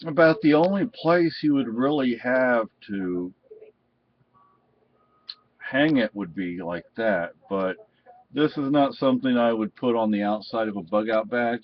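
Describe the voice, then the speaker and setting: A man giving a casual, unscripted product review in a halting, rambling way. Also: mid-range voice. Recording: dull, muffled lo-fi sound.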